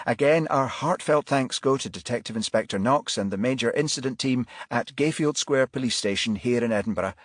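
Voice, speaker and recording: A man voicing a brisk, formal woman television reporter with polite, polished delivery in an audiobook. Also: medium pitch, no echo, clean studio sound, voice pushed up, lightly acted. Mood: presenting. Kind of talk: audiobook character voice.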